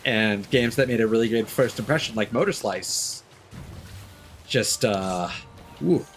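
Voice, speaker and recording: A man's voice: fairly low pitch, unhurried, soft background music. A man live streaming a game, casually chatting and thinking aloud in a reflective, rambling way. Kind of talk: casual chat with gameplay commentary.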